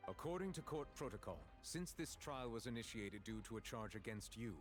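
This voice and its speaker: A man portrays a solemn chief judge in an anime game. His mid-pitched voice is measured, formal and authoritative, with music clearly playing behind it. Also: expressive, natural voice.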